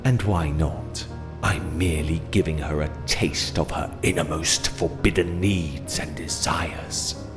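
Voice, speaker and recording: Very deep, slow gentleman villain. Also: steady tone, over music. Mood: gloating. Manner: slow, gloating, silky menace. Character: sinister gentleman villain, male, suave psychic manipulator. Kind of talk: audio drama villain monologue. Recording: long cavernous reverb.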